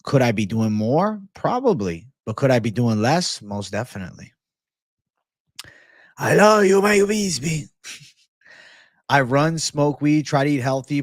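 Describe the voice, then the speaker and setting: A man's voice: mid-range voice, no echo, studio quality. A man hosting a casual livestream, chatting and answering viewers in slangy, profane, bro-heavy banter. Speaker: livestream host.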